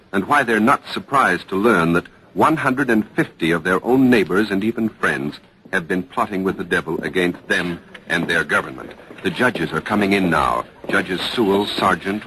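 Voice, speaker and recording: An actor playing a serious on-the-scene news reporter in an old-time radio drama, giving formal, measured live commentary. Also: male voice, sits low, a bit bright. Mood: grave, measured. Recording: noisy vintage radio broadcast.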